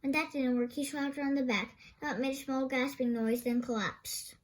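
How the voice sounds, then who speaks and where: A woman's voice: sits high, fairly even intonation, normal speed. A woman storyteller reading a children's picture book aloud expressively.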